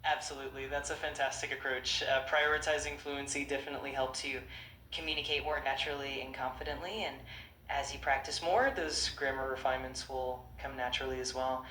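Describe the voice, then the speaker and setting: Bright, upbeat AI voice in an echoey room. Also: medium pitch, fairly even intonation, brisk, a little hiss or hum. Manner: upbeat, reassuring, polished. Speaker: AI conversation partner. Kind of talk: encouraging chatbot replies.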